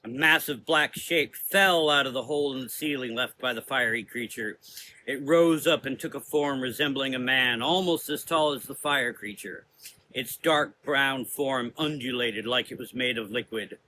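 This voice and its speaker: A man's voice: mid-range voice, moderate pace, sharp tone, a little hiss or hum, rough recording. A man giving dramatic scripted narration of a gothic audio story.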